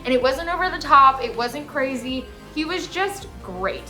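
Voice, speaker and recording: A woman's voice: high voice, expressive, moderate pace, sharp tone, faint music behind. A young American woman hosting a casual movie review, gushing and emphatic, with slangy phrasing. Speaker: movie podcast host.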